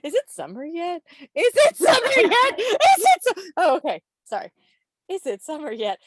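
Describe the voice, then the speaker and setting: A woman's voice: very high voice, huge pitch swings, normal speed, no echo. An American woman hosting an informal quiz on a video call, addressing the group playfully, like a schoolteacher. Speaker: quiz host. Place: online video meeting. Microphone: video call microphone.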